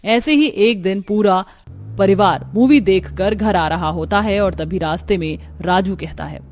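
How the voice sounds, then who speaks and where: A woman's voice: medium pitch, measured pace, darkish tone. A woman narrating a scripted family story as a storyteller.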